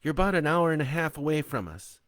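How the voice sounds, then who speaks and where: A man's voice: fairly high pitch, big pitch swings, fast talker, a bit bright, no echo, clean studio sound, voice pushed up. A man voicing an anxious adult daughter calling her mother. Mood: worried. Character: worried adult daughter on the phone.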